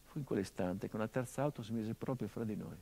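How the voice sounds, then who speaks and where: An actor playing a hardened, grieving middle-aged son, delivering a measured theatrical monologue that drops to hushed tones. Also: male voice, lowish voice, gravelly. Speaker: stage actor.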